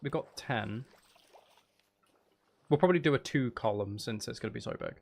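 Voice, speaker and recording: A man's voice: mid-range voice, moderate pace, gravelly. A man giving casual gameplay commentary, muttering to himself in a playful, self-deprecating, sweary way. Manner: mutters and counts aloud to himself. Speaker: streamer.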